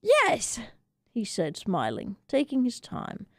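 A woman reading a story dramatically for radio, with a theatrical, deliberate delivery. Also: mid-range voice, big pitch swings, vocal fry, darkish tone, dry recording, clean studio sound. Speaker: storyteller, audiobook reader. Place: radio studio.

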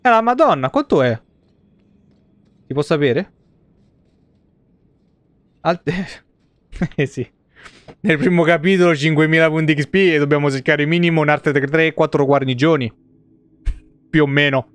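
A man's voice: on the high side, a little slow, long pauses, clean tone, no echo. A man giving casual, foul-mouthed and jokey live game commentary in slangy speech. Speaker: video game streamer.

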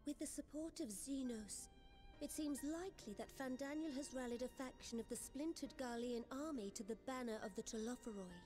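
Lady doing a little dignified stateswoman in a game, high voice, soft music under. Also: brisk, natural voice. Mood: concerned. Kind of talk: dramatic game cutscene acting. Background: faint string music.

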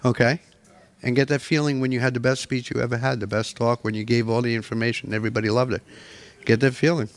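A man's voice: mid-range voice, steady tone, quick pace. A middle-aged man presenting a workshop in a hotel meeting room, coaching people step by step.

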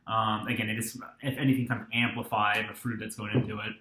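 Young man, twenties, low-ish voice, easygoing rambler with filler words, webcam in a roomy-sounding small room. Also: fast, a little hiss or hum. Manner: relaxed, rambling, many ums and restarts. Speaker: beer reviewer. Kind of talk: casual beer review vlog. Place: small dorm-like room indoors.

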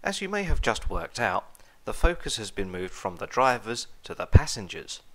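A man's voice: on the low side, big pitch swings, moderate pace, bright, forceful tone. A man narrating a scripted comic review in a sardonic manner. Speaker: video reviewer.

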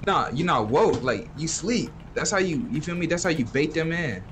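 A man's voice: fairly high pitch, lively intonation, moderate pace, a little hiss or hum. A man chatting casually in heavy slang as he plays an online game. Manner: slangy, repeats "you feel me". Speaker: fellow player in online game. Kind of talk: casual chat while gaming.

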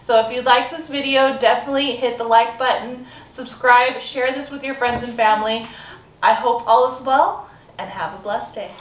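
A woman's voice: on the high side, steady tone, normal speed. A woman in her thirties giving a friendly step-by-step cooking demonstration in her home kitchen, filmed by a camera across the counter. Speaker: homesteading vlogger. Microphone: camera mic from across a counter. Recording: roomy sound from a far mic.

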